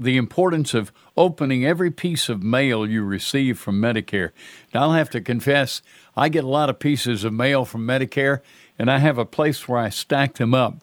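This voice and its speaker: A man's voice: medium pitch, no room sound, studio quality. An older man hosting a talk radio show, earnest and folksy in a conversational way.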